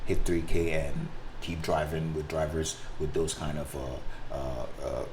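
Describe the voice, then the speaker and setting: A man's voice: a bass voice, flat delivery, unhurried, a little mellow, a little hiss or hum, low-quality recording. A man from Africa with a Nigerian accent and Igbo as his first language, talking casually and unscripted to viewers in a hesitant, rambling way. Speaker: sim racing video creator and driver.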